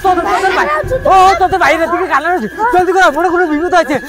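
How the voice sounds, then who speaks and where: A man's voice: very high voice, very fast, over a noisy crowd. A man playing an anxious village woodcutter and father in an amateur horror drama, speaking in repetitive exclamations. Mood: panicked.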